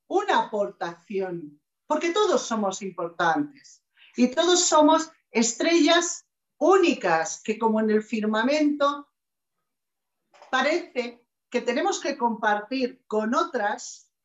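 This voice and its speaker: A middle-aged woman giving a measured, emphatic inspirational talk on a video call a small office. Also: fairly high pitch. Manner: slow, measured and emphatic, with expressive hand gestures. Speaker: conference speaker. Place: small office with shelves, indoors. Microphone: webcam video call. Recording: echoey room, dull lo-fi sound.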